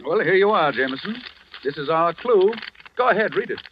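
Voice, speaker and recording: An actor playing a brisk, self-assured gentleman sleuth, decisive and polite, in an old-time radio mystery. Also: fairly high pitch, lively intonation, a little hiss or hum, natural voice. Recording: muffled vintage radio recording.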